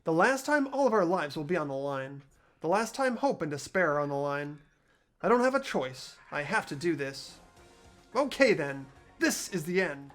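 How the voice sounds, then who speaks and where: An earnest teenage hero voiced by a man narrates his thoughts in a lively, expressive voice that rises and falls. His tone is anxious but determined, and he keeps to an everyday pitch. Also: moderate pace, soft background music. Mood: tense. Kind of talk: fan voice acting of a game.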